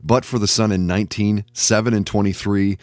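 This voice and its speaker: Podcast host, male. Lower register, dry studio sound, presenting segments and sponsor reads. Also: fairly even intonation, a little fast, no room sound. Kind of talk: podcast hosting with sponsor reads and guest introductions.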